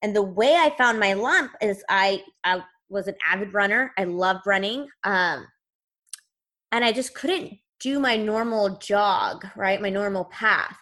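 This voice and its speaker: A woman's voice: mid-range voice, measured pace, pushed and bright. A woman in her thirties, a podcast guest, telling her own story in an earnest, conversational way. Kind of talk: personal storytelling in interview.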